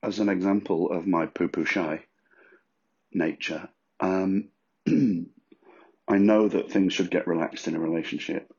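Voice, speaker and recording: Husky, gravelly low-voiced man. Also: a little mellow. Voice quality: husky, rough voice. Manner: crude, self-mocking humour. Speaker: podcast host. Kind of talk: rambling, jokey solo podcast chat. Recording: dull lo-fi recording.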